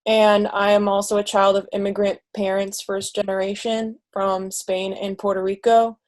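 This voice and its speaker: A comics creator introducing themselves briskly on a panel over a video call. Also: mid-range voice, completely flat delivery.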